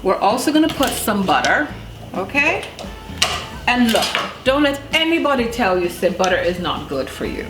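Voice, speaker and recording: A woman's voice: medium pitch, very animated, moderate pace. A woman of about fifty hosting a casual cooking demonstration, chatty and emphatic, tossing questions at the listener. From Jamaica. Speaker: cooking show host. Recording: roomy echo, loud music behind. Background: music, clattering pots, stirring.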